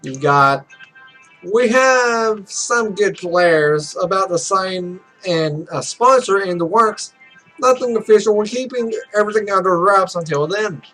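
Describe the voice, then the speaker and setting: Guy reading a dry businesslike recruiter in a visual novel, high voice, kinda evasive. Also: animated, unhurried, bright, forceful tone, soft background music, heavily acted, low-quality recording. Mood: guarded.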